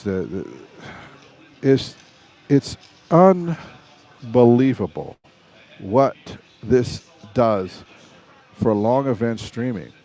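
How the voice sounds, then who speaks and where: A middle-aged man with a raspy voice, hosting a casual live stream and rambling in a chatty way with frequent restarts. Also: fairly low pitch, warm tone.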